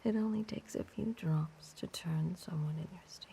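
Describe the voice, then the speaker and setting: Woman playing sinister vampire mistress, low gravelly breathy voice, often whispering, imperious, darkly tender, theatrical audio roleplay. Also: moderate pace, warm tone, exaggerated voice. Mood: coaxing, dark.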